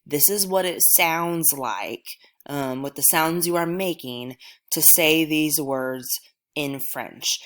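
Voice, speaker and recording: A woman's voice: low-pitched, a bit bright. A woman teaching a recorded language lesson to her class, talking patiently and reassuringly with frequent ums. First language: English.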